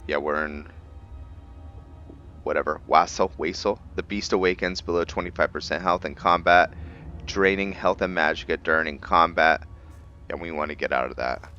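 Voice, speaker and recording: Deep-voiced man narrating his game. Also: bright, forceful tone, highs cut off. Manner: halting, thinking aloud, trailing off mid-phrase. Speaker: gaming host narrating a playthrough. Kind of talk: casual let's-play commentary. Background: game music behind the voice.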